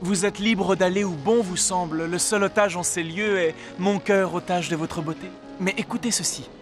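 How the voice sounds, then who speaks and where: A man's voice: high voice, steady tone, moderate pace, faint music behind, natural voice. Gallant young cartoon king played by a man. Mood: lovesick. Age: young adult. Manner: courtly, earnest, melodramatic. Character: lovestruck, gallant young king posing as merchant. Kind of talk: cartoon voice acting.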